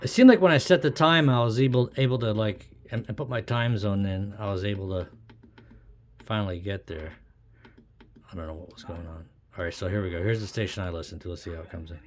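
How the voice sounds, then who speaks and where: Low-voiced man muttering over noisy audio. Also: a little fast. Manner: muttering, puzzled thinking aloud. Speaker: hobbyist demonstrating a radio. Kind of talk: casual unscripted hands-on commentary. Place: indoors at a wooden tabletop. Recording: noisy room with radio static. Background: radio noise.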